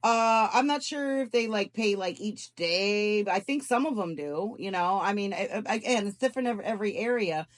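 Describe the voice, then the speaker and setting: A woman's voice: medium pitch, expressive, normal speed, hardly any pauses. A woman giving casual advice on a phone call, cautioning and repeating her points for emphasis. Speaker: scam investigator giving job advice. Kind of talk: casual advisory phone conversation.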